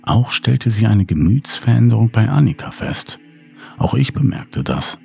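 A man's voice: fairly low pitch, very animated, measured pace, creaky, darkish tone, soft background music. A man reading a scripted first-person horror story in an audiobook style.

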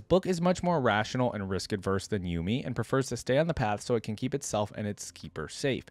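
Expressive young man, very lively pitch. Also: dry recording. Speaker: reaction video host. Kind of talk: casual reaction commentary, reading aloud. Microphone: close desktop microphone.

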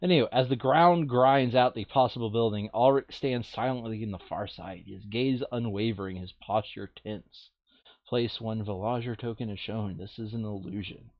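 A man's voice: lowish voice, normal speed, dry recording, highs cut off. A man running a board game playthrough in casual, crude, joking chat, now and then reading game text aloud. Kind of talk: casual gaming chat with bits of read-aloud flavour text.

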